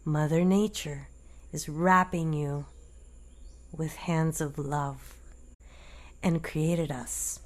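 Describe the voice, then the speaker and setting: A woman leading a guided meditation in a gentle, deliberate manner, leaving long pauses between short phrases. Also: low voice, fairly even intonation.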